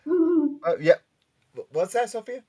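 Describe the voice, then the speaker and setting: A man hosts a loose, casual panel chat. His pitch swings widely as he talks, and his delivery is excitable and animated. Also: measured pace, crowd noise. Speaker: podcast host and convention promoter.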